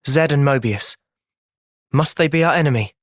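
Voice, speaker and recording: An actor playing an earnest, thoughtful young male hero in a fantasy video game, speaking sincerely and clearly. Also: fairly high pitch, normal speed, dry recording, clean studio sound, natural voice. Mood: solemn, reflective. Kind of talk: video game cutscene voice acting.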